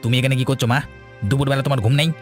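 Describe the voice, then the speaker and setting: A man's voice: mid-range voice, flat delivery, racing, soft background music, lightly acted. A man voicing an agitated, bossy grown son in a ghost cartoon, urgent and curt. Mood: stern, urgent. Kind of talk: cartoon voice acting.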